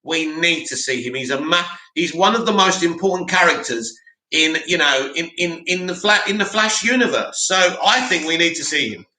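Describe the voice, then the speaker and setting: A man hosting a live stream, reading out viewer comments and answering them in a loud, opinionated way with lots of restarts. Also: fairly high pitch, lively intonation, moderate pace. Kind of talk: casual live chat. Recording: echoey room.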